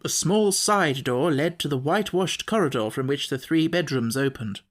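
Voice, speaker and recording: A man reading literary fiction as an audiobook narrator, measured and precise in his storytelling. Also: fairly high pitch, lively intonation.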